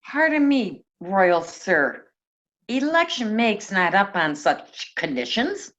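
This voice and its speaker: A woman's voice: mid-range voice, big pitch swings, slow, fairly bright tone. Woman playing lilting, cautious duke, muffled sound. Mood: cautious, deferential. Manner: deferential, formal. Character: Duke of Burgundy, polite, cautious noble suitor. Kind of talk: Shakespeare reader's theatre acting.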